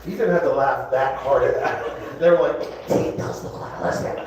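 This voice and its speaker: A man's voice: medium pitch, moderate pace. A man in his sixties doing a rambling, self-mocking stand-up set on a comedy club stage, fired up and bantering with the crowd. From Florida. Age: 60s. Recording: echoey club from a distance with crowd. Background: audience laughing.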